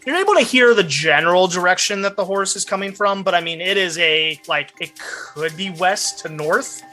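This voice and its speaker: Game master for a tabletop show: bright, forward voice, conversational scene-setting, music underneath. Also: mid-range voice, lively intonation, normal speed. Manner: conversational, descriptive, addressing players directly. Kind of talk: improvised tabletop game narration. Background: background music.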